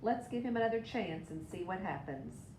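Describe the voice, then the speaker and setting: A woman's voice: expressive, moderate pace, darkish tone, lightly acted. Woman as a playful little leaf, echoey room. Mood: fair-minded. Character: Phil, playful, fair-minded young leaf. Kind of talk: children's storybook read aloud. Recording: echoey room with background rumble.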